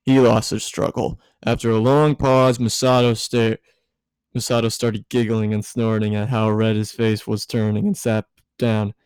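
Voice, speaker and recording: A man's voice: lowish voice, little pitch movement, unhurried, a little mellow, clear, resonant tone, no echo. Man reading fan fiction aloud, dry sound. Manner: stumbling, self-correcting reading. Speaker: amateur fan fiction reader. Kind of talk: story narration, read aloud.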